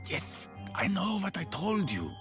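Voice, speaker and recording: Casting: shifty smuggler, sly and evasive, hugely animated intonation, game cutscene with clear music bed. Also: male voice, sits high, unhurried, heavily acted. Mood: conspiratorial. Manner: sly, conspiratorial. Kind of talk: video game character dialogue.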